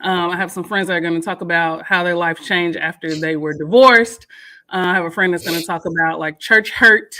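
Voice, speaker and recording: Bright-voiced woman chatting casually. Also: on the low side, fairly even intonation, brisk. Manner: chatty, laughs often. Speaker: radio show co-host and podcaster. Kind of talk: casual morning show chat.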